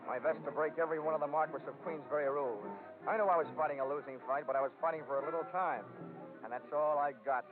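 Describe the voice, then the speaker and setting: An actor playing a wry, flirtatious, hard-boiled insurance investigator in 1940s radio drama style. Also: male voice, fairly high pitch, steady tone, fast talker, over music, close to an everyday voice. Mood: wry narrating. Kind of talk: 1940s radio detective acting, first-person narration. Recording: scratchy, tinny 1940s radio recording.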